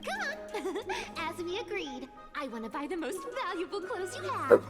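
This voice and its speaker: A woman's voice: very high-pitched, very animated, normal speed, music in the background. A woman playing a cheeky, playful young female catlike thief in a video game, with a showy, teasing manner. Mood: showing off.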